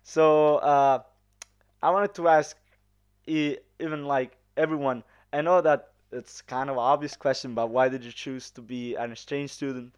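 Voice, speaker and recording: A young man from Sicily, hosting a casual podcast and often pausing to search for words. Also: mid-range voice, steady tone, clear, resonant tone, dull audio. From Sicily, Italy. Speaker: podcast host.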